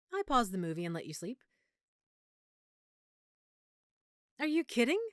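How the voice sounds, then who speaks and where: A woman playing a lovesick, guilt-ridden vampire girlfriend in an intimate audio roleplay, speaking tenderly and confidingly with dramatic pauses. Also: on the high side, no echo, clean studio sound. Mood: playful, affectionate. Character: lovesick, guilt-ridden female vampire hiding her nature from boyfriend.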